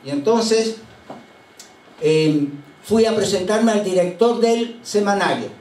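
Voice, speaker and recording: An older man from Chile, preaching a sermon as a pastor and telling anecdotes in an unhurried way with frequent hesitations. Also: sits high, lively intonation, a little mellow. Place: church sanctuary. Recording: roomy church sound from a distant mic.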